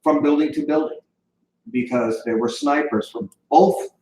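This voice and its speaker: A man's voice: on the high side, unhurried, darkish tone, reverberant, a little hiss or hum, low-quality recording. A man from East Germany talking casually as a radio show host, blunt and swearing freely. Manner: blunt, swears casually, runs the studio gear. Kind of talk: casual chat.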